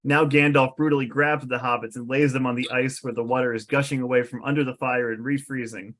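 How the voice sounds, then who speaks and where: A man's voice: medium pitch, steady tone, fairly quick, fairly bright tone. A man reading scripted stage directions as narrator at an amateur comedic table read.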